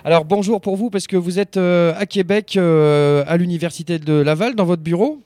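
A man hosting a radio interview in as his language, phrasing his questions in a hesitant, halting way. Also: sits high, warm tone, no room sound. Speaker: radio host interviewing a phone guest.

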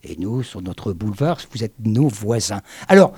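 Lively chatty male radio host. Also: on the low side, normal speed, breathy edge. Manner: lively, talkative, many fillers. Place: radio studio.

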